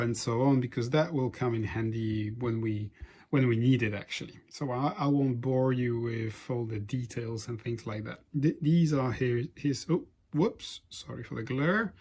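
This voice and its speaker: A man whose first language is Polish, hosting a board game playthrough in casual, halting commentary. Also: mid-range voice, darkish tone. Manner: halting, frequent restarts and fillers. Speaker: board game channel host.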